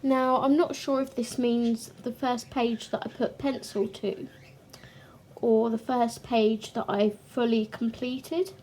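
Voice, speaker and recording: A young woman with a slightly high voice talks casually to her camera about her hobby, her voice croaky and a little short of breath. She speaks slowly and reflectively, stopping now and then to clear her throat. A faint background hiss runs under her voice. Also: steady tone, darkish tone. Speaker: colouring channel host.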